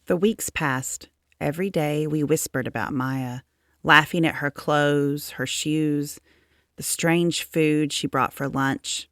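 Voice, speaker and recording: A woman reading a children's story aloud as narrator, in a measured, expressive storytelling manner. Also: deep voice, no room sound, clean studio sound. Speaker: storybook reader.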